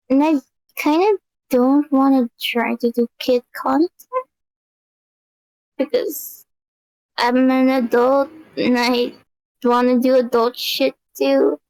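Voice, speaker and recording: A woman's voice: takes it slowly, pauses often, darkish tone, no room sound, low-quality recording. A young woman chatting casually on a live stream, rambling and giggling often. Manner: giggly, rambling, high-pitched.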